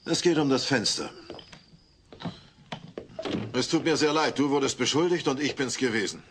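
An actor playing a jovial, sheepish middle-aged neighbour in a German audio play, chummy and a little hesitant. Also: male voice, mid-range voice, moderate pace, noisy background, close to an everyday voice.

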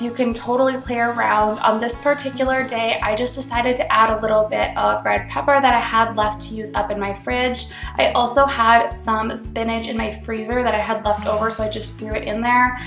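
A woman's voice: fairly high pitch, a bit bright, echoey room. A young woman with a cold hosting her cooking channel, chatty and upbeat as she explains each step from her home kitchen. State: congested from a cold. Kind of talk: casual cooking tutorial voice-over.

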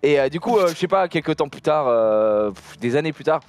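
A man's voice: a little high, animated, fairly quick, darkish tone, smooth. A man in his thirties from southern France, teasing and laughing as a guest while cooking in a studio kitchen. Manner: playful, teasing, laughs often. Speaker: guest cook. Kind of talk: joking cooking chat.

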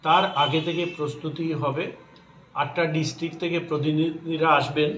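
A man in his fifties from Tripura, India, making a measured, formal statement as a sports association official at a press conference. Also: fairly high pitch, fairly even intonation, a bit bright, a little hiss or hum. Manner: measured, formal, occasional halting restarts. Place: indoor press room with banner backdrop. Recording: echoey room, voice a little distant.

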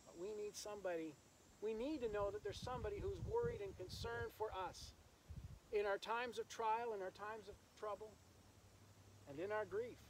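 A man, a minister, speaking solemnly and with pauses at a graveside service, reading scripture, praying and preaching outdoors. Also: high voice, low-quality recording. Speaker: minister officiating a funeral. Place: outdoors in an open rural cemetery. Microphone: camera several metres away. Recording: outdoor recording with insect and nature noise.

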